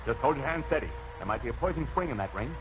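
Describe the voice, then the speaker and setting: Confident male detective hero, acted, mid-pitched and very lively, vintage adventure serial delivery. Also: rapid-fire, soft background music, close to an everyday voice. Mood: cautious. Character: confident amateur sleuth and masked hero. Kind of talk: 1940s radio acting. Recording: muffled, noisy old radio broadcast.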